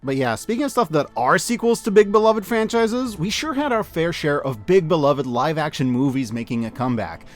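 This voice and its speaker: A man narrating a scripted, opinionated review in an emphatic, conversational way with deliberate dramatic stresses. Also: fairly high pitch, expressive, soft background music. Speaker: host of a year-end media review.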